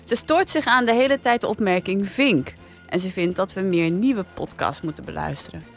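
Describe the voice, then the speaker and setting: Dutch woman from the netherlands chatting as podcast host, mid pitched voice, music around. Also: normal speed, vocal fry. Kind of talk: casual panel chat.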